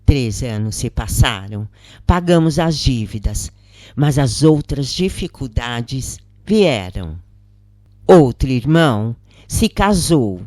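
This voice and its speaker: A woman with a Brazilian accent narrating a first-person story in a measured, expressive, dramatic audiobook style. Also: a bass voice, dry recording. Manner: measured, expressive, acts out quoted lines. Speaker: narrator of a spiritist account.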